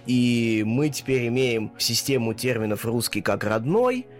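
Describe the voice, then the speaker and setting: A man's voice: medium pitch, expressive, soft background music. Thoughtful male language teacher, interview guest. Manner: thoughtful, explains with many pauses and "э" fillers. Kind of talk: relaxed expert explanation in an interview.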